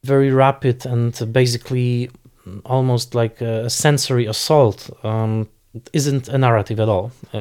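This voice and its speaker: A man's voice: medium pitch, clean tone. A man speaking as a film expert in an interview, searching for words and repeating for emphasis.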